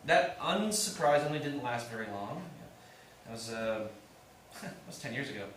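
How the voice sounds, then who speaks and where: An actor playing a sardonic, self-deprecating washed-up musician son of about thirty in a staged play reading, dry, mocking and profane. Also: male voice, medium pitch, lively intonation, normal speed, a bit bright, lightly acted. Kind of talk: staged reading, naturalistic dialogue. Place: indoor staged reading, recorded from afar. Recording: echoey room, distant mic, faint noise.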